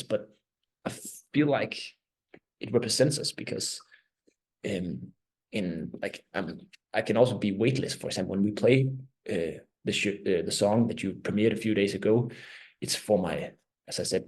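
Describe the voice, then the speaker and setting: A man in his thirties, a native Danish speaker with a Danish accent, giving reflective interview answers as a band's vocalist, often halting and searching for words. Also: fairly low pitch, darkish tone, low-quality recording. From Denmark. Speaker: band vocalist and lyricist.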